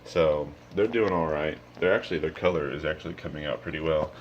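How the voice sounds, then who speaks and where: Sleepy young man with a very low voice. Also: fairly quick, muffled recording, a little hiss or hum. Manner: relaxed, rambling with many ums. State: sleepy, just woke up. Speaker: aquarium vlogger. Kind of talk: casual walkthrough vlog. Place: home room with fish tanks, indoors. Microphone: handheld phone camera.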